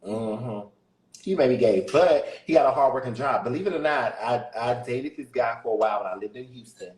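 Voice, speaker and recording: A man with a deep voice, a guest musician chatting candidly in a casual interview and laughing often. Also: brisk, darkish tone, echoey room, rough recording.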